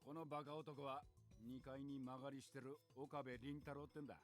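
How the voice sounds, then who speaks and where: An actor playing a gruff, surly middle-aged male shop owner with a blunt, rough way of speaking, in a visual novel. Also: mid-range voice, level tone, fast, faint music behind, lightly acted. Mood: irritated. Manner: blunt, rough-spoken, threatening. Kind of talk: Japanese visual novel voice acting.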